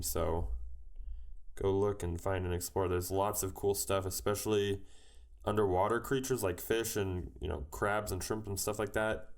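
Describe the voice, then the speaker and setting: A man with a head cold, thinking aloud in a casual way as he teaches a digital painting tutorial. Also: low voice. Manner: relaxed, musing aloud while working. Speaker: digital art instructor.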